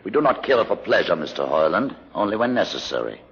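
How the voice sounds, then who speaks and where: An actor playing an intelligent mutant leader in an old radio drama, speaking in a courteous, measured, formal way with cool pride. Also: male voice, deep voice, big pitch swings, fairly bright tone, breathy edge, full character voice. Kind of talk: 1950s radio drama acting. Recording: muffled old radio recording, long reverb.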